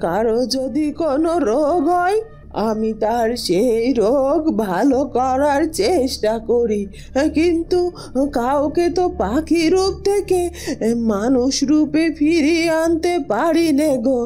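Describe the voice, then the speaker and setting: A woman voicing a humble, kindly old village healer in a cartoon, with a folksy, drawn-out rural way of talking. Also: high-pitched, lively intonation, mellow, faint music behind. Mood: apologetic. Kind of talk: dramatic cartoon storytelling.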